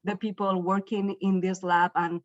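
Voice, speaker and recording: A woman from northern Spain with a Spanish accent, giving an academic keynote talk as a professor in a somewhat halting, self-repeating way. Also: mid-range voice, flat delivery, no room sound, dull audio. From northern Spain, Basque Country. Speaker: university professor, invited keynote speaker. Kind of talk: academic presentation.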